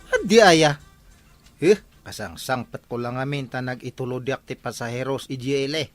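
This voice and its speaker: An actor playing an excitable, obliging tricycle driver in an Ilocano village comedy drama. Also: male voice, mid-range voice, moderate pace, no room sound, faint music behind, lightly acted. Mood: defensive.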